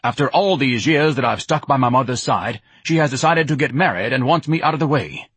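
A man's voice: medium pitch, animated, a little fast, fairly bright tone, slightly rough, no room sound, voice pushed up. A man voicing a pompous, self-pitying, overweight grown man who talks in grand, melodramatic speeches.

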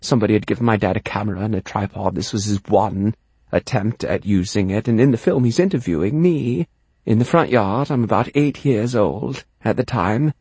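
A man's voice: fairly low pitch, fairly quick, darkish tone, breathy edge, no room sound. An actor playing a posh, wistful Englishman with an English accent, confessing his past in a dramatic, precise solo monologue. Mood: reflective. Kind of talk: theatrical solo monologue narration.

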